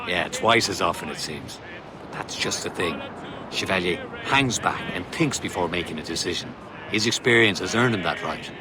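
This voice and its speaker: A man's voice: sits low, animated, normal speed. A man playing a level-headed, loyal assassin and first mate in a video game, earnest and reasoning in manner. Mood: admonishing. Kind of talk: dramatic game voice acting. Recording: wind and sea noise behind.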